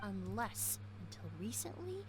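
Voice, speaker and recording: An actress playing a polite, shrewd young perfumer in a video game, speaking in a formal, measured, deliberate way. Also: female voice, mid-range voice, sing-song, a little hiss or hum. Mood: quietly pointed. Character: composed, polite, shrewd young woman perfumer, quietly interrogating. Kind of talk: scripted video game dialogue.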